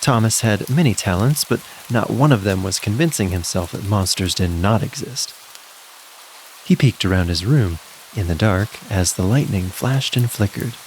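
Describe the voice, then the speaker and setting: Low, very animated male storyteller. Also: normal speed, slight background noise, rough recording. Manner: dramatic, suspenseful. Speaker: storyteller, narrator. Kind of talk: scripted children's story narration.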